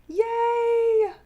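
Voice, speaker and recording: A woman's voice: extremely high pitch, mellow, muffled recording. A woman hosting a casual craft live stream, bubbly and sniffly from a cold, greeting viewers by name.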